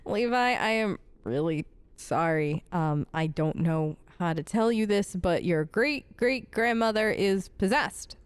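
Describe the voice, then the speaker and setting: A woman's voice: mid-range voice, animated, a little slow, smooth, no room sound. A woman recording a role-play let's play of a video game, speaking into a close microphone.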